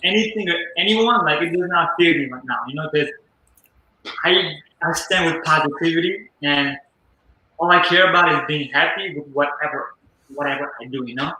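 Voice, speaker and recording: A man's voice: on the high side, normal speed, sharp tone. A teenage man hosting a casual live group chat, earnest and upbeat, talking about positivity with lots of "like" and "you know". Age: teens. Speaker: livestream host. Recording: very echoey room.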